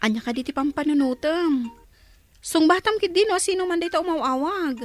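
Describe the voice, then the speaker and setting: A woman playing a very high, insistent Ilocano girl. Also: normal speed, natural voice. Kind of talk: radio drama acting.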